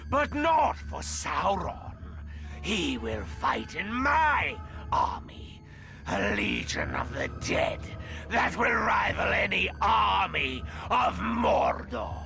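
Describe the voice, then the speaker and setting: An actor playing a gloating undead orc necromancer in a video game, with a theatrical, taunting, incantatory delivery. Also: male voice, high-pitched, creaky, exaggerated voice. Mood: fanatical, commanding. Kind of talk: video game villain acting. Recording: loud cinematic music behind. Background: loud music.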